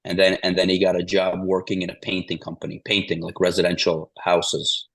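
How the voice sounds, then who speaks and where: Forties male guest from Ukraine; deep, even delivery with little movement, meandering with restarts. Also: a little fast, husky, dry recording, rough recording. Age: 40s. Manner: meandering, restarts, repeats "the bottom line is". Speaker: interview guest. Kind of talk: casual interview storytelling.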